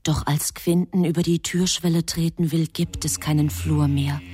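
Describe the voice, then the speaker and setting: A woman's voice: low-pitched, steady tone, normal speed, mellow, natural voice. A woman performing as the grave, composed narrator of a literary radio drama. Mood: measured, mysterious. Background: music.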